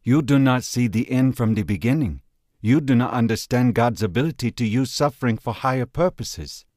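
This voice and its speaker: Calm formal Chinese man, lectures earnestly, as a narrator puts him on. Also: medium pitch, normal speed, no echo, studio quality, close to an everyday voice. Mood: gentle. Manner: formal, no contractions, preaching.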